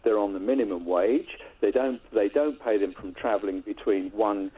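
A man speaking earnestly and in measured terms as a radio guest over the telephone. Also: lowish voice, breathy edge. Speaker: radio guest, former health trust chairman. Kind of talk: phone-in interview. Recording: tinny phone line.

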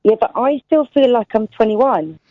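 A woman's voice: a little high, lively intonation, moderate pace, no echo, narrow, tinny audio. A woman in her thirties calling in to a radio show, chatty and effusive. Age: 30s. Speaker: caller. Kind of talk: casual phone-in chat. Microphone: telephone.

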